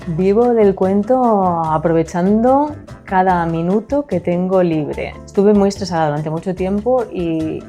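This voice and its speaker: Spanish woman guest, mellow lowish voice, soft chatty answers, light music under. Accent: Spanish from Spain. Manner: soft-spoken, chatty, laughs easily. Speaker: writer, podcast guest. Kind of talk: casual interview answers.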